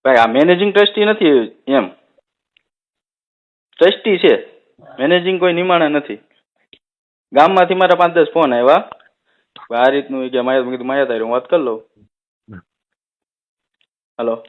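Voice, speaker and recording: A man's voice: a little high, normal speed, pauses often. A man with a rural Saurashtra Gujarati accent in a casual phone conversation, explaining things and often checking for agreement. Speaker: village head on a phone call. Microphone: telephone. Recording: tinny narrowband phone call recording.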